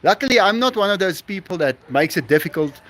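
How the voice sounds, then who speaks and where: Chatty South African man, high and lively. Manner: chatty, laughs often, halting restarts. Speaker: livestream host. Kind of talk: casual live-stream chat with viewers.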